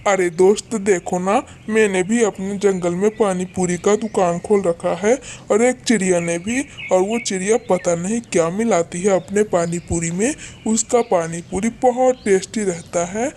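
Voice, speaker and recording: Woman voicing scheming cartoon crow, male character, threatening, emphatic and repetitive, medium pitch, faint background noise. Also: moderate pace, few pauses, darkish tone, natural voice. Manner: emphatic, repeats threats and calls. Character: scheming, threatening male crow who runs a food stall. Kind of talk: Hindi cartoon dialogue.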